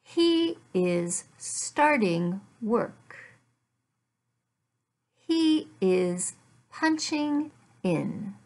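A middle-aged woman teaching English, speaking deliberately with careful enunciation and repeating simple phrases for learners. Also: a little high, sing-song, a little mellow. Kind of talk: slow, simplified language lesson read aloud. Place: furnished room at home.

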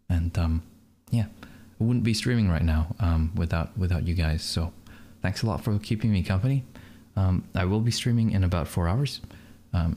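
Low-voiced young male streamer. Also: a little mellow, a little hiss or hum. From Malaysia. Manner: chatty, laughs often. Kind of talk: casual stream chat.

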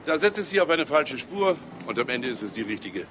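An actor in a German radio drama playing a wry, exasperated police chief. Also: male voice, medium pitch, big pitch swings, fairly quick, noisy background, lightly acted. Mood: wry exasperation.

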